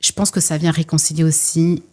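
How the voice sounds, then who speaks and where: A woman's voice: sits low, flat delivery, fairly quick, dark tone. A woman from France, speaking earnestly and emphatically as a guest in a conversational interview, often repeating phrases to build a point. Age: 40s. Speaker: podcast guest, entrepreneur and former social worker.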